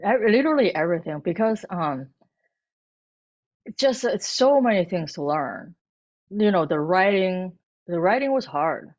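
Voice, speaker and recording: A woman whose first language is Chinese, a cookbook author talking about her work in a halting way with many restarts. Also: on the low side, lively intonation, dull audio. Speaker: cookbook author and food blogger. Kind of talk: bookstore author talk.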